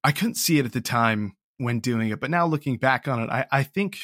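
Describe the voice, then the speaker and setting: A man talks reflectively as an interview guest in a mid-pitched voice. He is hesitant and self-deprecating, and the sound is close and dry. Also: expressive, brisk, a bit bright, dry recording. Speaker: interview guest, audio drama creator. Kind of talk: casual reflective interview talk.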